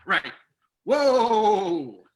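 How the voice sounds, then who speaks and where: A man's voice: sits high, very animated, extremely slow, a little hiss or hum, exaggerated voice. A man playing an earnest, practical government security agent in an old-time radio drama. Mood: earnest, grim.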